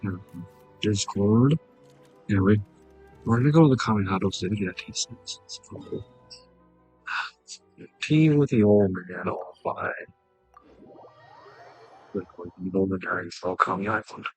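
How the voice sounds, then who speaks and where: A man's voice: on the low side, animated, measured pace, long pauses, a little mellow, faint music behind, poor audio. A man doing casual game playthrough commentary, with laid-back muttered asides and dialogue read aloud. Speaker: gaming streamer.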